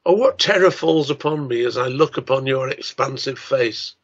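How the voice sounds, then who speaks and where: A man in his seventies speaks as a writer and lecturer, formal and measured, as if reading from a prepared script or reciting verse. His pitch sits in an ordinary male range. The recording is a little dull and lo-fi, like a webcam indoors. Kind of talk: scripted talk and poetry recital.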